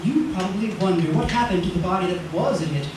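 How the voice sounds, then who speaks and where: A man's voice: sits high, quick pace, lightly acted. A man playing a smug, menacingly genial proprietor in an old-time radio drama, knowing and mock-polite. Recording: very echoey, distant, noisy.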